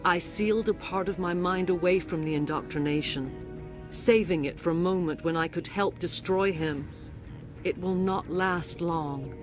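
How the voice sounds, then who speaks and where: A woman playing a regal middle-aged alien matriarch in a video game, speaking in a grave, formal, measured way. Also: lowish voice, over music, close to an everyday voice. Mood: strained, urgent. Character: regal alien matriarch, a mother enthralled by a villain. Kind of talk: dramatic video game acting.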